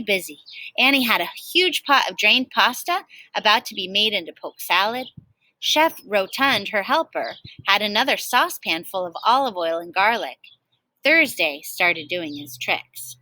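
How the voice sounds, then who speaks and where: Bright, animated woman reading a storybook. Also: medium pitch, normal speed, poor audio. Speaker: teacher reading aloud. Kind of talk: expressive picture-book read-aloud for children.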